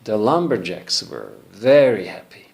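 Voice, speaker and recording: A male English teacher slowly telling a folktale in simple English for learners, pausing to check in with listeners. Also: on the low side, big pitch swings, gravelly, darkish tone. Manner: slow, deliberate, checks in with listeners.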